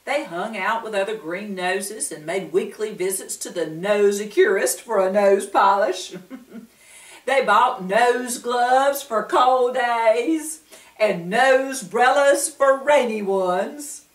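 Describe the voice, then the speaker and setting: A woman's voice: fairly high pitch, slow. A woman reading a children's story aloud expressively, with asides to her listeners. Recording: roomy room, distant mic.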